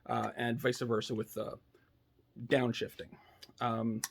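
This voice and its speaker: A man giving a casual, unscripted technical demo in a laid-back, hesitant way, thinking aloud, with a husky, slightly gravelly voice. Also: mid-range voice, level tone, takes it slowly. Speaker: electronics builder demonstrating a device.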